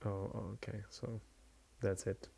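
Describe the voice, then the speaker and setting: Quiet, slow-spoken man with a gravelly voice. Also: on the low side, little pitch movement, slight background noise. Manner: hesitant, many fillers and restarts. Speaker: streamer and commentator. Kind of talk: casual live-stream chat.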